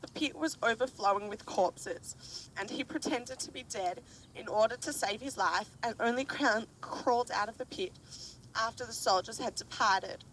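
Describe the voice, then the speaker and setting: A woman's voice: normal speed, fairly bright tone. A woman delivering a prepared memorial speech in a formal, narrative style. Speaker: survivor's grandchild giving a speech. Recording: distant mic, faint rumbling noise.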